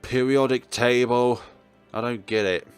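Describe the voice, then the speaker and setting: A man's voice: medium pitch, steady tone, unhurried, smooth, faint music behind. A man hosting a let's play, reading dramatic narration aloud with casual, jokey asides.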